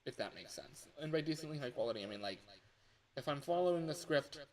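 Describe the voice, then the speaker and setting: A man chatting casually as a livestreamer, rambling and halting, often correcting himself and sometimes swearing. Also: on the high side, expressive. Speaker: livestreamer recording voice lines.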